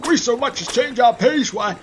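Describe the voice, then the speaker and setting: A man's voice: very high voice, animated, moderate pace. A man playing a folksy, obliging stagecoach guard with an American Old West accent in an audio play. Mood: warning. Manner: folksy, rustic speech. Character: folksy, obliging male stagecoach shotgun rider. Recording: faint background noise.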